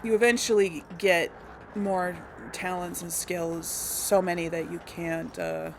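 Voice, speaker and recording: A woman hosting a let's play, chatting casually about her game choices and thinking out loud with plenty of ums. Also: fairly low pitch, fairly even intonation, takes it slowly, slight background noise. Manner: chatty and unsure, thinking aloud with many ums. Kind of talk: casual gameplay commentary.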